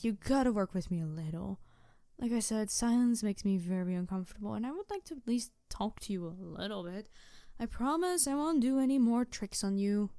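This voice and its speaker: A woman voicing a playful, mischievous tanuki spirit girl in a roleplay audio drama, teasing the listener in an excitable, rambling way. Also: fairly high pitch, no room sound. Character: playful, mischievous young-sounding tanuki trickster spirit girl, over two hundred.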